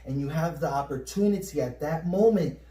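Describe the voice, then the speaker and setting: A man's voice: fairly high pitch, fairly quick. Puerto Rican man, roomy and distant. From Puerto Rico. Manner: energetic, repeats words when excited. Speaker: podcast co-host. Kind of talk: casual spiritual chat. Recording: roomy echo, mic at a distance.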